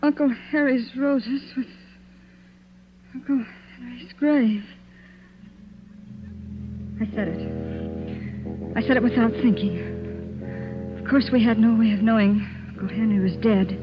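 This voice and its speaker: An actress playing an anxious, suspicious young wife in a 1940s radio suspense drama, speaking in a hushed, dramatic, hesitant way. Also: female voice, a little high, sing-song, takes it slowly, pauses often. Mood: tense, fearful. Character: anxious young wife, suspicious, narrating her eerie tale. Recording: muffled vintage radio broadcast with music.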